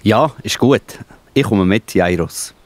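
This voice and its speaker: A man playing a calm, reassuring healer in a children's Bible audio play, measured and gently authoritative. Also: on the low side, dry recording. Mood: calm reassurance.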